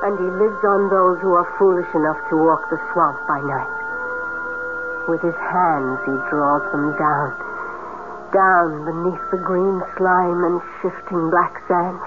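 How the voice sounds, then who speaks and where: A woman's voice: fairly low pitch, fairly even intonation, measured pace, over music, natural voice. An actress playing a mysterious, dreamy young woman in a radio drama, speaking with a haunting solemnity. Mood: haunted, eerie. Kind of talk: 1970s radio drama acting. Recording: tinny, noisy 1970s radio broadcast.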